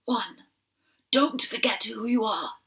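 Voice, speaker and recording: A woman voicing an elderly male rabbit leader, gruff and serious yet kind, giving earnest advice. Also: on the high side, slow, a bit bright. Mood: grave. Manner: gruff, grave.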